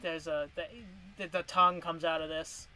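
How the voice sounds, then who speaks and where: A man's voice: on the high side, little pitch movement, moderate pace, music in the background, low-quality recording. A man chatting casually on a stream, ranking cartoon characters and rambling through tangents with restarts, his voice wearing from long streaming. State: voice getting worn from streaming.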